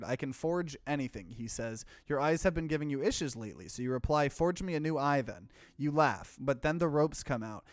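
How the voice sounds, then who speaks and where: A man's voice: mid-range voice, no echo. A man hosting a let's play, casually commenting and reading game text aloud with expression.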